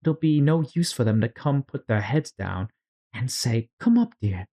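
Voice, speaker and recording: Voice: medium pitch, lively intonation, normal speed, dark tone, pitched above the performer's own voice. A storyteller voicing a dramatic, bewildered young girl who frets and scolds herself in a storybook. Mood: gloomy, stubborn. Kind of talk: storybook character read-aloud.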